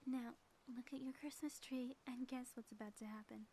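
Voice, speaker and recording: A woman's voice: sits high, steady tone, moderate pace, mellow, slight background noise. A woman playing a theatrical ex-villain spouse in an audio roleplay, delivering grandiose, mock-menacing taunts in florid language. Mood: hushed menace. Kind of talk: scripted audio roleplay monologue, ASMR.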